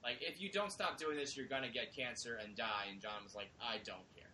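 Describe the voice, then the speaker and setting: A man's voice: medium pitch, a little fast, pushed and bright. A man hosting a podcast, teasing his co-hosts and steering a casual chat. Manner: teasing, wry, leads the talk. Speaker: podcast host.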